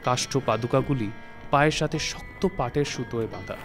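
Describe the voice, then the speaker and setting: Measured male storyteller over soft music. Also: medium pitch. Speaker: story narrator. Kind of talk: literary scripted narration. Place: recording studio.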